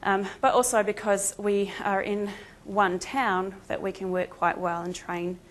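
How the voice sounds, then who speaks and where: A woman's voice: medium pitch, flat delivery, unhurried. An Australian woman whose accent has shifted toward New Zealand gives a conference presentation. She talks in a chatty, self-deprecating way and leans on frequent ums between thoughts. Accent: New Zealand-tinged Australian. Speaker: conference speaker, veterinary emergency team member.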